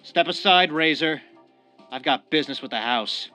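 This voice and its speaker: A man's voice: fairly high pitch, normal speed, sharp tone, soft background music. A man playing a desperate, determined gangster out to protect his family, intense and threatening, in a crime radio drama. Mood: menacing.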